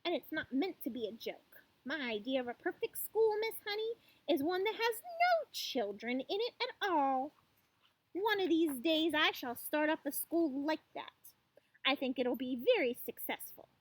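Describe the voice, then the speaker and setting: A woman's voice: high voice, very animated, normal speed, dry recording, voice pushed up, rough recording. A woman voicing a furious, tyrannical headmistress who loathes children, haranguing and menacing, in a storybook reading. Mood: scornful. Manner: haranguing, barking, grandly menacing.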